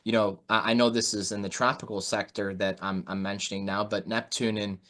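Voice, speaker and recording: Male podcast host and market analyst, lower register, informal conversational delivery on a video call. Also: little pitch movement, a little fast, fairly bright tone. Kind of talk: conversational market commentary and interviewing.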